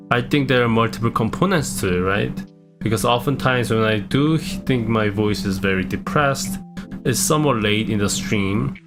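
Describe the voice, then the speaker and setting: A young man with a low-pitched voice and a non-native English accent talks in a hesitant, self-deprecating way, often restarting his sentences. Clear guitar music plays behind him. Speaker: streamer. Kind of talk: casual reflective vlog.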